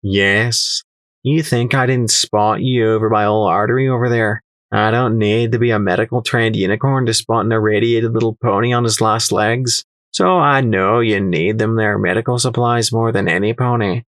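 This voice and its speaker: A man's voice: lowish voice, steady tone, normal speed, a bit bright, dry recording, lightly acted. Man putting on a sly, sneering pony merchant. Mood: menacing, condescending. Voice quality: flighty, airy. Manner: sneering, condescending, calling others laddie. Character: shrewd, condescending, sly male pony merchant slave.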